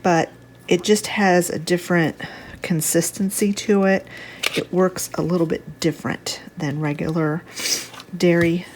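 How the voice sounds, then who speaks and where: Low-pitched congested woman hosting cooking. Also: steady tone, a little mellow, a little hiss or hum. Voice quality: congested, slightly stuffy nose sound. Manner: unhurried, chatty, thinking aloud. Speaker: home cook, video host. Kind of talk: casual cooking demonstration. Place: home kitchen.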